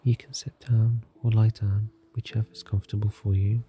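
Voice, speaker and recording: A man leads a guided meditation in a soft, soothing, scripted narration, calm and gentle, leaving long unhurried pauses. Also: lowish voice, lo-fi sound, a little hiss or hum. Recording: music behind the voice. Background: soft music.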